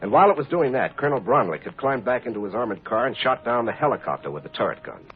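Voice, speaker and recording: A man's voice: mid-range voice, very animated, fast. An actor playing a defiant man on the run, telling his own escape in a tough, plain-spoken 1950s radio drama style. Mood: tense recounting. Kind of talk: 1950s radio drama first-person narration. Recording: noisy, muffled old radio recording.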